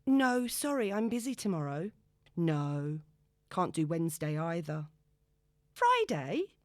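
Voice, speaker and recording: A woman voicing an excitable young single woman in an audiobook, bubbly and self-consciously breezy. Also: on the low side, slow, no room sound, studio quality. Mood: breezy, excited.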